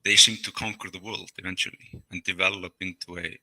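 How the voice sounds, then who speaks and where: A man chiming in on a casual podcast discussion, halting and restarting his phrases. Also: sits low, flat delivery, pushed and bright, no room sound, rough recording.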